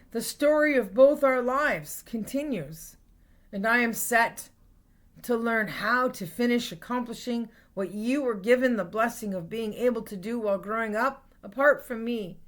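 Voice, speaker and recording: A woman with a Canadian accent reading her own spoken-word poetry in an earnest, deliberate, dramatic way, in short phrases with pauses. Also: on the high side, fairly bright tone. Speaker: poet reading own work.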